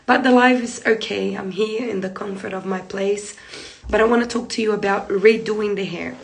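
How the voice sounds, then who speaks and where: A hoarse woman in her thirties talks hairdressers through a live tutorial, seated close to a phone in a small room. Her voice is gravelly and rough from a cold, and she circles back to her points in a chatty way. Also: mid-range voice, fairly even intonation, normal speed, rough recording. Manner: chatty, repeats points, gestures while talking. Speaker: hair treatment educator. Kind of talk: live-streamed tutorial talk. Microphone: phone camera close in front.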